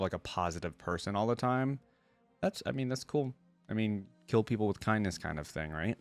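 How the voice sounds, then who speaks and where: A man's voice: fairly low pitch, no room sound. A man hosting a reaction video, mixing read-aloud passages with casual, conversational commentary. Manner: conversational, pauses reading to comment.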